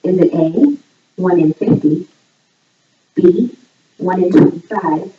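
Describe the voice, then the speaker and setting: A woman reading a scripted voice-over like a playful quiz presenter, setting out each line clearly. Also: slow. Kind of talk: scripted voice-over narration, trivia quiz for a fundraiser. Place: voice audio played back in a room during filming. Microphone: far camera picking up played-back audio. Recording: echoey, distant, muffled low-quality playback.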